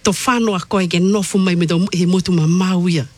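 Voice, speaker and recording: A radio host asking guests questions in a halting way, often repeating words. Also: medium pitch, fairly even intonation, darkish tone. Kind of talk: interview questions.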